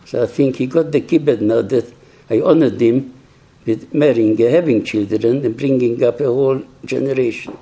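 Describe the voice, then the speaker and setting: An elderly man recounts his memories slowly, in halting, reflective phrases with frequent restarts. His voice is very dark and mellow, with a husky grain. He is hard of hearing. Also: medium pitch. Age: older, 80s or 90s. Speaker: survivor giving testimony to young people. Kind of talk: personal testimony talk.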